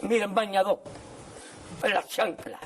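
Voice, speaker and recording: A man chatting casually and excitedly in a distant, noisy clip. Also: sits high, unhurried.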